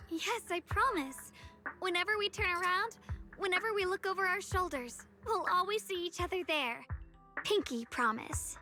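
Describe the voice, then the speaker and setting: A woman voicing a gentle but determined young swordswoman in an anime game, with a soft, youthful, sincere delivery. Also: extremely high pitch, moderate pace, faint music behind. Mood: earnest.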